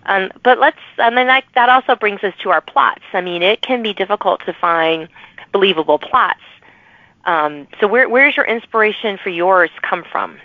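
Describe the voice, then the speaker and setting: A woman's voice: mid-range voice, normal speed, no echo. A woman hosting a podcast, chatting casually and agreeably with her guest about writing. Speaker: podcast host and author. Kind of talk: casual interview chat. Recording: dull, lo-fi remote audio.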